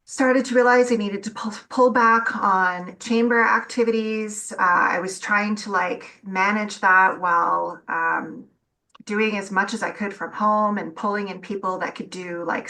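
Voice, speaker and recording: A woman with a bright, forward voice at an average pitch speaks as an interview guest. She tells her own story in an informal, candid and reflective way. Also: vocal fry, low-quality recording. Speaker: interview guest sharing personal experience. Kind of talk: casual conversational storytelling.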